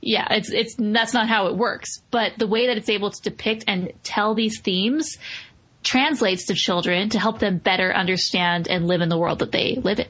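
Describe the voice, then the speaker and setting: A woman's voice: mid-range voice, normal speed, a bit bright, poor audio. A woman co-hosting a podcast, talking casually as she reviews a film. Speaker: podcast co-host.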